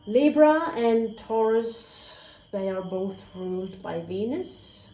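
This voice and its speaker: A woman's voice: mid-range voice, animated, slow pace, mellow, a little hiss or hum. Woman, tarot reader, candid, chatty, swears a lot, whispered asides, roomy echo, faint crickets behind. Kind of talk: casual spoken tarot reading.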